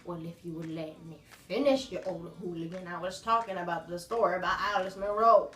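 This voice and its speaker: A woman's voice: lowish voice, brisk, fairly bright tone, natural voice. A woman playing a fondly exasperated elderly grandmother who hosts a story show in an amateur comic skit. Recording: echoey room, far from mic, music behind.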